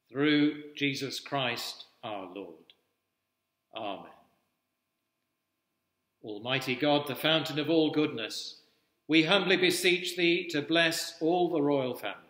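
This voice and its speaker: A man in his sixties, an Anglican priest, reading traditional prayers in a solemn, measured, formal way in an echoey church. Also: on the high side, sharp tone. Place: indoors at a wooden-panelled cathedral altar. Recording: echoey church with long reverb.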